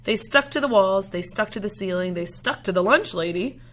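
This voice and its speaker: A woman reading a children's picture book aloud expressively, in measured phrases with pauses, as a storyteller speaking to young listeners. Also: mid-range voice, animated, a bit bright.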